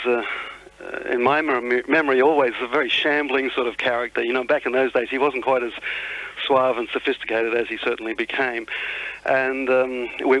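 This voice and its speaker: An Australian man in his forties reminiscing reflectively over the telephone in a measured, halting way. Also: medium pitch, a bit bright. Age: 40s. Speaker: radio guest, journalist. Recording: dull lo-fi phone line.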